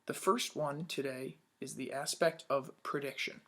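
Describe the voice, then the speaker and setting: A man's voice: mid-range voice, fairly even intonation, a little slow, sharp tone. A man teaching young children in a read-aloud lesson, upbeat, asking questions and praising answers.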